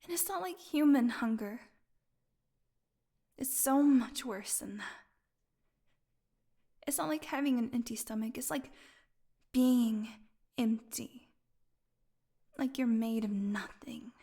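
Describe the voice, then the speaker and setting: A starving vampire woman held captive, acted out by a woman in an intimate audio drama. Her fairly high voice moves slowly and haltingly, often thinning into a breathy whisper. She is sardonic and proud, with desperation underneath. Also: darkish tone. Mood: pleading, haunted.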